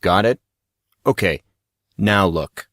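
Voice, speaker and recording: A big, easygoing teenage boy in an audio drama, played by a man. He has a low voice and explains patiently, with a knowing, teasing edge. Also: expressive, slow pace, a bit bright, dry recording, clean studio sound, full character voice.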